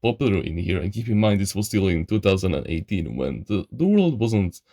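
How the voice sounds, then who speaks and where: A man's voice: sits low, very animated, a little fast, mellow, no room sound, studio quality. A young man from Eastern Europe, a non-native English speaker, telling a personal story in a chatty, slangy way and calling the listener bro. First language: an Eastern European language. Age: young adult. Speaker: channel host. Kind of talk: casual storytelling monologue.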